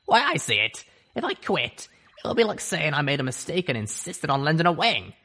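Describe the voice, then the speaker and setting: A man voicing a gruff, sardonic female griffin mercenary who chain-smokes, with a drawl. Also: fairly high pitch, big pitch swings, normal speed, pushed and bright, pitched above the performer's own voice, heavily acted. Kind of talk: audiobook character voice.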